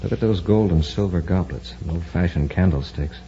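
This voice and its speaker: An actor playing an authoritative, observant space patrol commander in a measured 1950s radio adventure style. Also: male voice, sits low, dark tone, lightly acted. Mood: calm, watchful. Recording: muffled, noisy old radio recording with hum.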